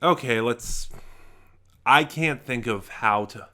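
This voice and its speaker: A man giving a casual, unscripted review to viewers, halting and rambling as he often trails off mid-thought. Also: medium pitch, pushed and bright. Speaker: YouTube reviewer.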